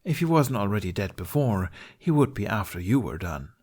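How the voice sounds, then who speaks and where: A man's voice: on the low side, big pitch swings, moderate pace, a little mellow, breathy edge, exaggerated voice. A man voicing a mischievous, breezy young male performer in a storybook, joking and flippant. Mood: teasing.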